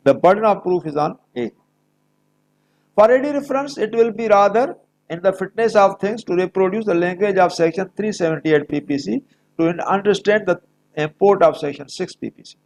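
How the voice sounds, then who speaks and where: A man's voice: high-pitched, extremely slow, vocal fry, a little mellow. A man with a Pakistani accent giving an explanatory law lecture, repeating key phrases emphatically and mixing in English legal terms. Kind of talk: explanatory lecture mixed with reading legal text.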